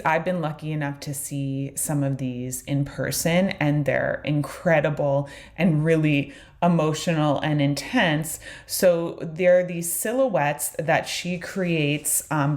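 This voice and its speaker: A woman's voice: low voice, a little mellow. A woman with a New York-area accent teaching a beginner art lesson in a chatty, casual way, full of fillers and asides. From New York area, USA. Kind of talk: casual online course lecture.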